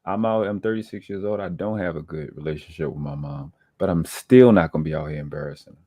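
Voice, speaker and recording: A man's voice: low-pitched, lively intonation, fast, dark tone, slightly rough. A man in his thirties talking earnestly and reflectively as a panelist in a casual live-stream discussion. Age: 30s.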